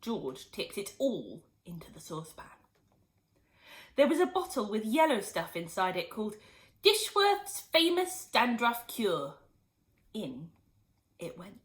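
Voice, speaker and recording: Expressive woman teacher reading a storybook. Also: a little high. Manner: expressive storytelling, lively emphasis. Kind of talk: reading a children's book aloud.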